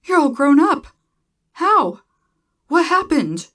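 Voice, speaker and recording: A woman's voice: sits high, sing-song, slow pace, a bit bright, pitched above the performer's own voice, exaggerated voice. A woman voicing a tender, bewildered long-lost father in a sci-fi story, astonished and exclaiming. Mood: amazed. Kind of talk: dramatised sci-fi story reading.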